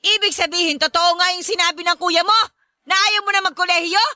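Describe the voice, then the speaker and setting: Woman acting a shrill, scolding mother. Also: steady tone, brisk, bright, forceful tone, dry recording, studio quality, heavily acted. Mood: angry scolding. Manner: nagging, berating, exclamatory. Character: scolding, short-tempered working-class mother of grown sons. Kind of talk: Tagalog radio drama acting.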